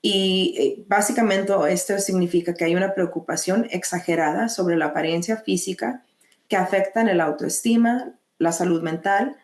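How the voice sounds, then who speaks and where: A woman with a Mexican accent presenting a mental health lesson, measured, pausing often to restart phrases. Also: lowish voice, reverberant. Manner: measured, with frequent hesitations and restarts. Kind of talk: educational webinar presentation.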